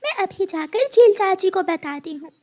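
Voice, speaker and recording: A woman voicing a plucky little girl bird in a cartoon, childlike and quick to protest. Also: very high-pitched, lively intonation, mellow. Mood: defiant.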